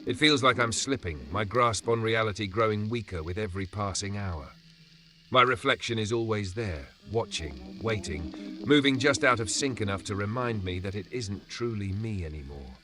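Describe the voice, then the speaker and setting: A man narrating a scripted first-person horror story in a dramatic, measured way with pauses. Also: fairly low pitch, expressive, bright, forceful tone. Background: background music.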